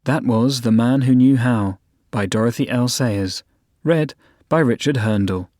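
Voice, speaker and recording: A man's voice: mid-range voice, unhurried, darkish tone, no echo. A man announces on radio, reading scripted narration. The sound is close and dry, with no room around the voice.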